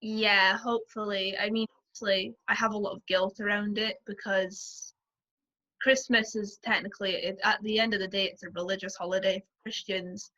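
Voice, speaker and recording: Young woman, posh Scottish-English accent, bright tone, chatty guest. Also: medium pitch, level tone, low-quality recording. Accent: mild posh English-Scottish mix. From Scotland, Aberdeenshire. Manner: chatty, frequent "like" and quick laughs. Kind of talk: casual conversation.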